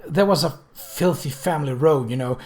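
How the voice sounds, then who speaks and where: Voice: medium pitch, normal speed, a little mellow, close to an everyday voice. Brief: reader as boyish well-bred young man, earnest, awkward, stammering, full of apologetic exclamations, storybook dialogue. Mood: agitated. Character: flustered earnest very young upper-class gentleman, awkward and boyish.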